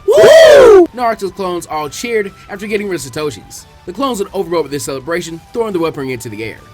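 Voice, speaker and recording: A man narrates an action story in a fairly high voice with highly varied intonation. It is a scripted, dramatic audiobook reading, with music playing underneath. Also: animated, fast, a little mellow. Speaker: fan fiction reader.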